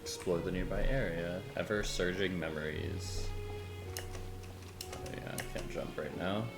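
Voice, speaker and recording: Man mumbling over loud game music. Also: deep voice, a little slow. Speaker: streamer. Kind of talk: casual gameplay commentary.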